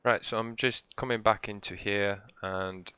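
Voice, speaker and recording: A man with a croaky, hoarse voice hosts his own gameplay channel. He talks in a low register with a bright, forceful edge and chats along in a relaxed, meandering way. It sounds like casual commentary over a video game. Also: measured pace, no echo. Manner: relaxed, rambling, chatty. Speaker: let's play host.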